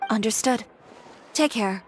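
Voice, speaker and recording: A woman's voice: a little high, a little slow, noisy background, close to an everyday voice. A woman playing a proud, defiant young fashion designer in a video game, composed, clipped and resolute.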